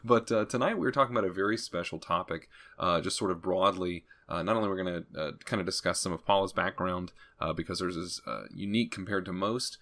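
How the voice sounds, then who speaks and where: Deep-voiced male podcast host. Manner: relaxed, many filler pauses, joking. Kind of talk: casual podcast hosting.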